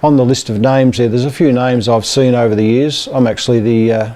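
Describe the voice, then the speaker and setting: A man's voice: medium pitch, steady tone, brisk, dark tone. A man with an Australian accent giving a casual, hesitant, rambling update as an amateur radio club presenter.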